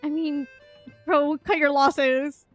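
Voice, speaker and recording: A woman streamer giving casual live game reactions in a slangy, expressive, giggly way. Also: high voice, takes it slowly, faint music behind.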